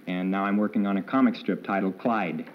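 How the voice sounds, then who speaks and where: Low-voiced polite man contestant. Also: steady tone, brisk, a little hiss or hum. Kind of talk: game show interview. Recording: dull old television broadcast audio.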